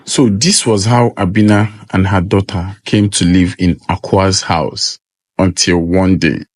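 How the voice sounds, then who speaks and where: A man's voice: low voice, steady tone, measured pace, no echo, studio quality. A man narrating a scripted folktale as a storyteller.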